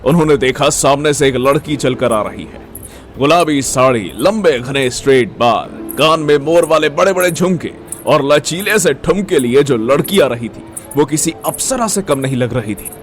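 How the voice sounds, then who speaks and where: A man's voice: on the high side, moderate pace, soft background music. A man narrating a scripted Hindi audio story as its storyteller.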